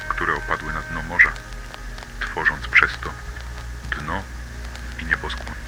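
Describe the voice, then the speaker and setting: A man reading a scripted myth as a storybook narrator for an analog horror tape, with loud music behind him. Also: extremely low pitch, rough recording.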